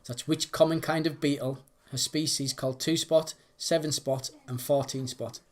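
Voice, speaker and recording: A man's voice: on the high side, fairly even intonation, normal speed. Man casually hosting a quiz. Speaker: quiz host. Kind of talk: reading quiz questions with casual banter.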